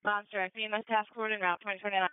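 Dispatcher, extremely quick and crisp, procedural, tinny narrow radio band. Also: mid-range voice, level tone, no room sound. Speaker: emergency dispatcher.

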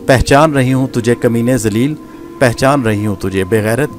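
A man's voice: mid-range voice, close to an everyday voice. A man voicing a furious young woman who hurls bitter, scornful insults at her father's killer, with music behind him. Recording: background music.

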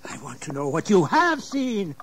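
An actor playing a proud, imperious English gentleman and father in an audio drama, halting when shaken. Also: high-pitched, wildly swooping pitch, full character voice. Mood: frantic, angry. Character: proud English gentleman, outraged father disowning his daughter. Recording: noisy 1980s audio drama recording.

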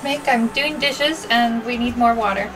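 A woman's voice: on the high side, unhurried, over music. A woman chatting casually and asking questions from behind the camera aboard a sailboat. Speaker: sailing vlogger behind the camera. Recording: noisy on-location camera audio.

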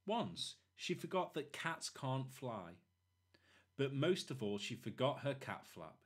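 A man narrating a children's storybook expressively, in short, deliberate phrases. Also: medium pitch, very animated. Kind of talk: expressive children's read-aloud.

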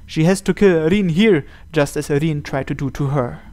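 A man's voice: on the high side, normal speed, darkish tone. A man narrating a visual novel aloud as host, reading the scripted text.

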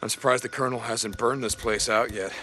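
A man in his thirties with an American accent, playing a gruff, sardonic drifter biker in a video game. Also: mid-range voice, fairly even intonation, fairly quick, pushed and bright, soft background music, natural voice. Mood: weary, sardonic. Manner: gruff, muttering, casual. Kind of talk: naturalistic video game acting.

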